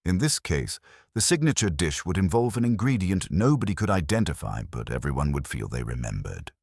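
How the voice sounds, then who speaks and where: A man's voice: low voice, very animated, brisk, darkish tone, breathy edge, no echo, studio quality, heavily acted. A man voicing an earnest culinary chemist in his late thirties, formal and stiff, in an audio mystery. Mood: formal, anxious. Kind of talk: detective audio drama.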